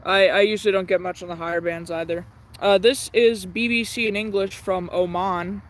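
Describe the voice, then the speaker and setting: A man chatting casually on a live radio-tuning stream in a halting, low-key, hesitant way. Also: fairly even intonation, noisy background.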